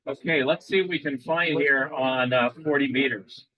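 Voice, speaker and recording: A man's voice: medium pitch, normal speed, no echo, lo-fi sound. A man giving a live demo at an amateur radio club meeting, rambling with frequent restarts and quizzing the audience.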